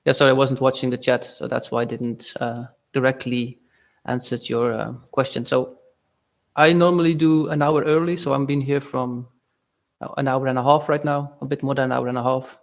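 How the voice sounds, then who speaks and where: A young man from Belgium, speaking natively, giving casual, halting live-stream commentary on his trades and answering chat. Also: mid-range voice, flat delivery, vocal fry. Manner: casual, halting, reads chat aloud with fillers.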